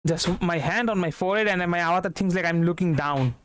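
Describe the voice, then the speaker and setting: A man's voice: high voice, fast talker, a bit bright, no echo. A young man, casually commentating a game livestream in a rambling way, repeating words. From India. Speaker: streamer. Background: game music.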